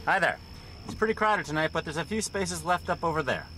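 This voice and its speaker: A man's voice: a little high, expressive, a little fast, pushed and bright. A man playing a casual, obliging parking attendant in a modern audio drama. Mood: breezy. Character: breezy, helpful male parking attendant. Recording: noisy crowded ambience behind voice.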